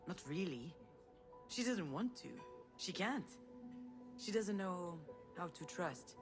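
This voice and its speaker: A woman's voice: on the low side, animated, takes it slowly, over music. A woman playing a protective, reflective older sister in a naturalistic video game drama, earnest and wry. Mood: earnest, reassuring. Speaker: game protagonist. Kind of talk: naturalistic drama voice acting, dialogue and inner monologue.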